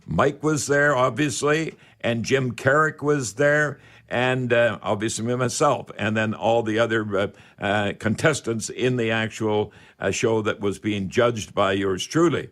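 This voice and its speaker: A man's voice: lowish voice, fairly bright tone. An older man hosts a radio call-in show, chatting in a folksy, rambling way with old-fashioned expressions. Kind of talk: casual home-improvement call-in radio talk.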